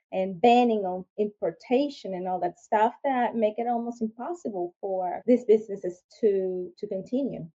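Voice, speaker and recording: A woman's voice: medium pitch, mellow. A woman from Venezuela with a Venezuelan Spanish accent, a podcast guest telling her personal story earnestly, with frequent restarts. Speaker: podcast guest, firearms instructor. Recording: dull lo-fi sound.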